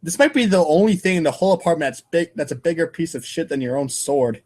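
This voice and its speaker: A man's voice: fairly high pitch, animated, quick pace, darkish tone. A man reading comic narration aloud in a casual, irreverent way, stumbling over lines now and then. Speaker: podcast co-host and reader.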